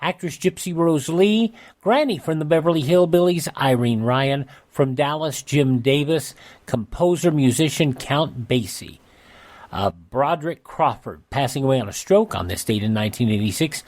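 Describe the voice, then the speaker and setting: A man hosting a radio show, reading out an almanac with casual asides in a halting, conversational way. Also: fairly high pitch, lively intonation, a little mellow. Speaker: radio host.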